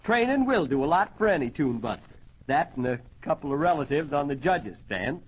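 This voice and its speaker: High-pitched folksy old-time radio announcer man. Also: sing-song, a little fast, slightly rough. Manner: folksy, jokey showman patter. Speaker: radio show announcer and host. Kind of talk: 1940s radio variety announcing and ad reading. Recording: noisy old radio transcription recording.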